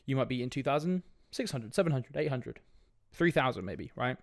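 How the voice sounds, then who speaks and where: A man's voice: medium pitch, lively intonation, fairly quick, dry recording, clean studio sound. A young man with a sniffly cold, hosting a casual solo fitness podcast in a direct, laid-back way with frequent "right?" asides. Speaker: fitness coach podcast host.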